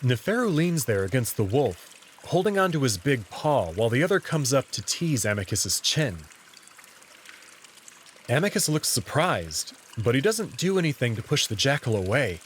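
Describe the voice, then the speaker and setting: A man's voice: medium pitch, sing-song, normal speed, a little hiss or hum. A man reading first-person story narration in the role of narrator.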